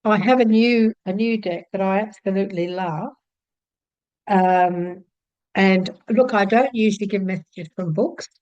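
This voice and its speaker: Older Australian woman, homey chatty vlogger, middle pitch, dry close mic. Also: unhurried, no echo, dull audio. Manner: chatty, warm-hearted, many fillers and restarts. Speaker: psychic channel host. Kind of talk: casual talk to camera. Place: home room with shelves of card decks.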